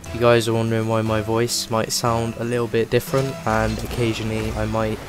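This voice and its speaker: Man, rough voice from a cold, chatty rambling gameplay talk, flat delivery, game music clearly behind. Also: on the low side, brisk. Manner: rambling, chatty, many "like" fillers. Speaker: gaming channel host. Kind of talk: casual gameplay commentary.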